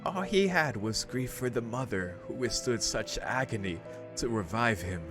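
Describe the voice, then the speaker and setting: A man's voice: medium pitch, expressive, moderate pace. A man hosting a visual novel playthrough, casually commenting and reading dialogue aloud. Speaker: visual novel let's play host. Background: game music.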